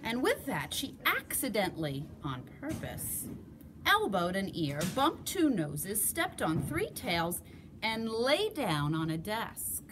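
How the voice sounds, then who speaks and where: A woman reading a children's storybook aloud expressively, with dramatic pauses and emphasis. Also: medium pitch, huge pitch swings, noisy background. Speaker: storybook reader.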